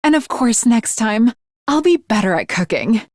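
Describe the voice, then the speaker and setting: A woman's voice: a little high, very animated, moderate pace, a little mellow, no room sound, studio quality. A woman voicing an earnest, lovestruck teenage girl swordswoman in an anime video game, with formal, archaic, dramatic diction. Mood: overjoyed, bashful.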